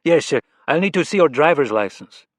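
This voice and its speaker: A man's voice: fairly high pitch, lively intonation, a little fast, no room sound, studio quality, pitched above the performer's own voice. A male narrator voicing a young woman posing as a policewoman, firm, official and clipped.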